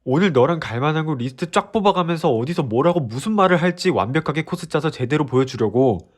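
An actor playing an earnest young boyfriend who is clumsy with words, speaking straight to his girlfriend and pleading with her softly. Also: fairly low pitch, animated, measured pace. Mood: exasperated. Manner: pleading softly, then ranting in a rush. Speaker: boyfriend in a role-play drama. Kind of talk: listener-directed role-play drama.

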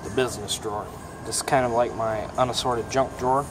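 A young mechanic casually showing his toolbox, talking in a relaxed, plainspoken way with plenty of ums and uhs. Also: mid-range voice, fairly quick, over music, poor audio. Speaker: mechanic giving a toolbox tour. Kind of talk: casual show-and-tell vlog. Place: auto repair shop, indoors. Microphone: phone held in hand, filming first-person. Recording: shop noise with air conditioning hum.

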